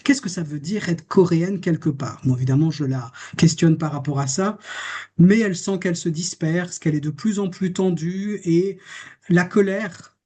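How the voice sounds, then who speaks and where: A man's voice: sits high, normal speed, a little mellow. A man, a therapist, giving a reflective public lecture on a case, posing rhetorical questions as he goes. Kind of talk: lecture presenting a case study.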